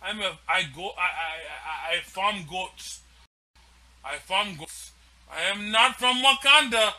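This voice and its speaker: A man voicing a poor, defiant male goat farmer from Uganda, speaking in halting, repetitive and profane bursts as a comic improvised impression. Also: sits high, expressive, bright, forceful tone, voice pushed down, heavily acted.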